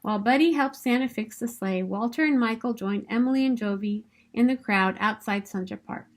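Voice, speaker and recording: Expressive woman reading a storybook, high voice. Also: brisk. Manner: expressive, emphatic storytelling for children. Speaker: children's storybook reader. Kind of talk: read-aloud picture book narration.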